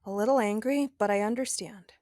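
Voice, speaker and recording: A woman's voice: medium pitch, a little fast, a little mellow, no echo, studio quality, natural voice. An actress playing a loyal young woman hacker, candid and conversational, a little nervous. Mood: wary, candid. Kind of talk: audio drama acting.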